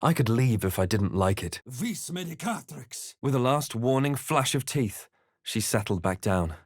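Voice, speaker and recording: A man voicing a grave, watchful fantasy hero in a video game, with measured, dramatic delivery. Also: medium pitch, lively intonation, dry recording, studio quality. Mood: incanting. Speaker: video game player character voice actor. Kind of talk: scripted game narration and character lines.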